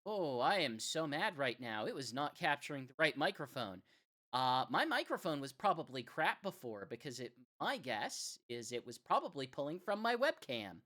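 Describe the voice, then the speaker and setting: A woman with a low voice and very mobile intonation hosts a casual livestream. She talks partly to the audience and partly to herself, muttering as she troubleshoots. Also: big pitch swings, moderate pace, fairly bright tone. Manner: muttering to self while troubleshooting. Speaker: livestream host. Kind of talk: casual livestream chat to viewers.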